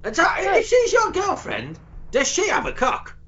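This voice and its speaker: Lively high-pitched gravelly man. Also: unhurried. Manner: rambling, stammering, sudden shouty outbursts. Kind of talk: crude comedic chat. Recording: slightly muffled with faint noise.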